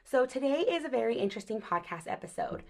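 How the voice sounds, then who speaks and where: A woman's voice: fairly high pitch, sing-song, fast talker, gravelly, darkish tone. A woman hosting a podcast, talking earnestly and casually and affirming her guests often. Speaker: podcast host. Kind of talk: casual conversation.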